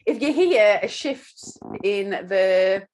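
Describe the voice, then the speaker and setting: A woman hosting a casual chat podcast, upbeat and eager, with quick asides to her guest and listeners. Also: mid-range voice, animated, darkish tone. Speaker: podcast host.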